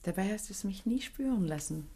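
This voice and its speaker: An actress playing an anxious, devoted and affectionate daughter in a German radio drama, earnest and emotive. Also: female voice, mid-range voice, animated, a little fast, mellow, husky. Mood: tender.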